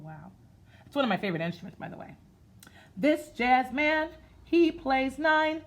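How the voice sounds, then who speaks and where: A woman hosting a children's storytime, reading aloud in an expressive, sing-song way and speaking to her listeners as friends. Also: mid-range voice. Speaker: storytime host reading a picture book aloud. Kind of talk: children's read-aloud with rhythmic sound effects and chatty asides.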